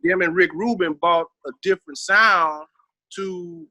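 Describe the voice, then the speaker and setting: Bright-voiced, emphatic Southern American man. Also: high-pitched, a little slow, no room sound. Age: middle-aged. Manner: emphatic, streetwise and slangy, with frequent swearing. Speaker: interview guest, veteran rapper. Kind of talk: casual interview reminiscing.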